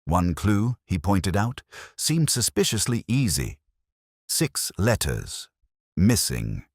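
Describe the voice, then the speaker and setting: A man narrating a literary detective story in the first person, in a measured, solemn and reflective manner. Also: sits low, very animated, no room sound, studio quality.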